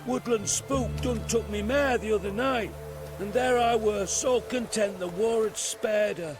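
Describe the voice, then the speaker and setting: An actor playing a humble, superstitious peasant in a video game, with a rural British accent and folksy, plaintive manner. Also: male voice, high voice, a little slow, over music. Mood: fearful, plaintive. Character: humble, superstitious peasant man who keeps horses. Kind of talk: video game cutscene acting.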